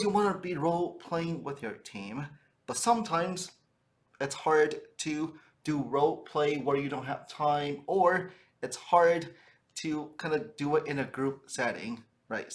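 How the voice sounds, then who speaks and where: A man giving an informal instructional talk as a trainer, conversational and often checking in with a tagged-on "right?". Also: medium pitch. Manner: conversational, frequently tags phrases with "right?". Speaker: sales trainer for funeral businesses.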